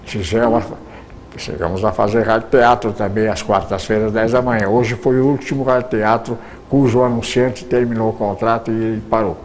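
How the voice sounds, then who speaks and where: An older man, a retired radio producer, reminiscing in an interview, with halting speech full of repeated words and restarts. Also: lowish voice, fairly even intonation, slight background noise.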